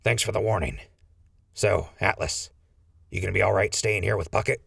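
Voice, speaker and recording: A man playing a rough, practical jailbreak ringleader with a husky, gravelly voice, terse with orders, in an audio drama. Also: lowish voice, normal speed, fairly bright tone, dry recording, studio quality, full character voice. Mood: wry, reassuring. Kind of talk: post-apocalyptic audio drama acting.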